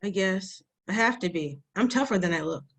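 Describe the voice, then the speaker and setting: A woman's voice: mid-range voice, moderate pace, natural voice. A woman at a table read voicing a plucky, cheeky young girl who is tougher than she looks. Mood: defiant. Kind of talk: screenplay table read acting.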